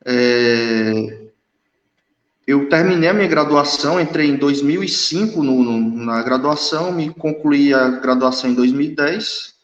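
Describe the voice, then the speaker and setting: A man's voice: mid-range voice, measured pace. A man in his thirties from northeast Brazil, a guest expert in a live online interview who hesitates and repeats words. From Ceará, northeast Brazil. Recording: echoey room, dull lo-fi sound.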